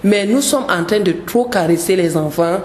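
A woman's voice: mid-range voice, moderate pace, a little mellow. Woman, gospel singer as guest, earnest, repeats words, roomy echo. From Cameroon. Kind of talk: radio interview.

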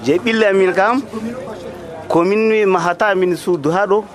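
Market trader, man, high voice, halting field interview outdoors. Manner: hesitant, halting talk.

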